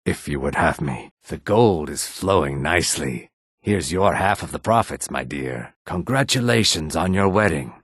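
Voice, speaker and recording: Lizard man npc for a fantasy game, real deep n husky, sly but polite, kinda formal, dry studio sound. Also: lively intonation, normal speed, a bit bright, no room sound, heavily acted. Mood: tender. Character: adult male Argonian lizard-man, sly, courteous fantasy townsman. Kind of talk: scripted video game dialogue acting.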